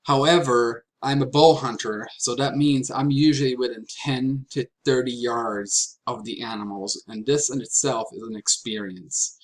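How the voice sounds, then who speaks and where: A man from Germany giving a prepared slide presentation as a graduate student, with deliberate, halting phrasing and pauses. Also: medium pitch, steady tone, rough recording. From Franconia, Germany.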